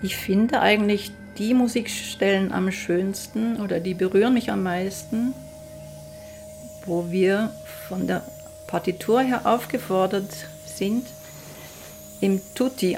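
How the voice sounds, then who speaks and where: A woman's voice: medium pitch, vocal fry, darkish tone. A middle-aged woman who grew up in Austria, a violinist speaking reflectively and with enthusiasm about her work in a documentary interview.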